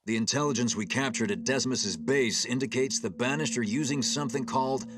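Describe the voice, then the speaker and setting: Man playing a commanding captain over loud music. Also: mid-range voice, fairly quick, natural voice. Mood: grave, measured. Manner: authoritative, clipped military delivery. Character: male starship captain, grave, measured and commanding. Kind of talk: video game cutscene acting.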